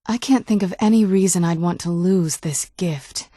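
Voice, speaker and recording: A woman's voice: on the low side, moderate pace, warm tone, no room sound, lightly acted. A woman playing a guarded, wry young vampire noblewoman companion in a video game, speaking in a dry, sardonic, conversational way. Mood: earnest, guarded. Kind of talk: video game character dialogue.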